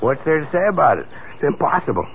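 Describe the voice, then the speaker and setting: A man's voice: a little high, sing-song, fast talker, creaky. An actor playing a rattled, defensive man haunted by visions, agitated and prone to stammering, in a 1970s radio drama. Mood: uneasy, evasive. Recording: tinny, noisy 1970s radio broadcast.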